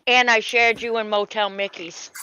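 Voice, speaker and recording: A woman chatting casually on a livestream, quiet and giving short replies that trail off. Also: a little high, fairly even intonation, moderate pace, clean tone, highs cut off. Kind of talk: casual chat.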